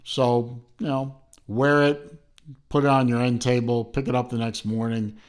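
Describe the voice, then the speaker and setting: A man's voice: medium pitch, level tone, moderate pace, clear, resonant tone. A man hosting his own channel, reviewing a watch in a rambling, casual way with lots of fillers and restarts, filming at home from behind the camera.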